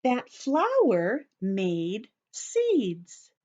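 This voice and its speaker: A woman leads story time for little children at an unhurried pace, her voice swinging widely in pitch. She reads from a picture book and explains it simply, like a teacher checking that they follow. The sound is slightly dull and lo-fi. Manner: animated, teacherly, asking the listeners questions.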